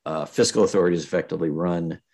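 A man with a very deep, low voice takes over an economics presentation. He speaks hesitantly and fills his pauses with ums and uhs. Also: vocal fry, darkish tone. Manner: hesitant, many fillers. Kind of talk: academic presentation.

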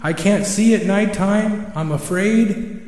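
A man acts out a proud but frightened owl from a folk tale. The voice is raised high and drawn out, plaintive and exclaiming, ringing with long reverb in a big echoey space. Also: a little slow, creaky, mellow. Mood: angry, indignant. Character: frightened, proud male owl, complaining and pleading.

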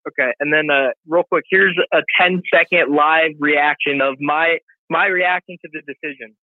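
A man calls in to a boxing show over a thin, tinny phone line. His voice is fairly high and dry. He rambles and boasts with excitement. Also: moderate pace, no room sound. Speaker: caller. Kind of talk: call-in chat.

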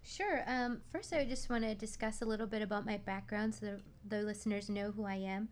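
A woman's voice: medium pitch, a little fast, a little hiss or hum. A young adult woman explaining things conversationally as a guest expert in a radio interview, often repeating words as she goes. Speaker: guest expert, massage therapist.

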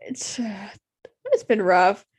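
A young woman co-hosting a casual podcast, speaking candidly with many pauses and ums on a makeshift home mic. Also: medium pitch. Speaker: podcast co-host. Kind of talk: casual chat. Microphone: improvised home mic.

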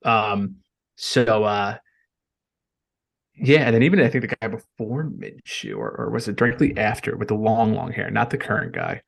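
A young man co-hosting a casual sports podcast, speaking in a monotone and often halting on fillers. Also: on the low side, vocal fry, breathy edge. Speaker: podcast co-host.

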